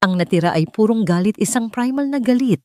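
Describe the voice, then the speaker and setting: A woman's voice: on the high side, animated, fairly quick, darkish tone, no echo, studio quality. A woman narrating a scripted dramatic story as an audiobook reader.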